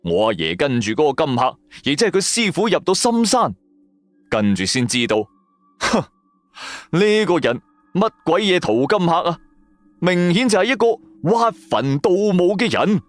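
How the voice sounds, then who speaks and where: A man's voice: a little high, very animated, moderate pace, no room sound. A man narrating an audio drama as a colloquial, suspenseful storyteller. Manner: colloquial, suspenseful, rhetorical questions.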